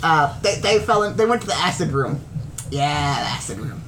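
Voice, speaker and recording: A woman's voice: low voice, lively intonation, normal speed, fairly bright tone, a little hiss or hum, voice pushed down. A woman voicing an eccentric, ancient male mad wizard who rambles and scorns his visitors. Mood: petulant.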